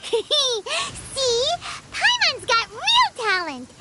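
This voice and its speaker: Squeaky, extremely high fairy sidekick. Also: female voice, huge pitch swings, extremely slow, heavy background noise, full character voice. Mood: gleeful, proud. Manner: squeaky, talks of herself in third person. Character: tiny, cheerful, boastful female fairy companion. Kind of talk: video game voice acting. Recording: loud game music behind the voice. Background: loud game music.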